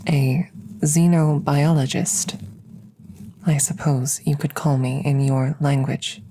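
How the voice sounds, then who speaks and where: A woman playing a calm, curious alien scientist in an ASMR roleplay, speaking softly and close up in measured, formal, clinical phrases. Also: low voice, noisy background. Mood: measured, explanatory. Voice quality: soft, breathy close whispering. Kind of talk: whispered ASMR audio roleplay. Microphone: close-up microphone.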